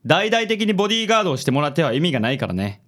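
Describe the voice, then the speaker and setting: A vain, self-assured charmer played by a man with very lively, swooping intonation. He is a handsome company president in a radio comedy, smooth and affected, tossing in English words. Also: a little high, rapid-fire. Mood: confident. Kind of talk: Japanese comic radio drama acting.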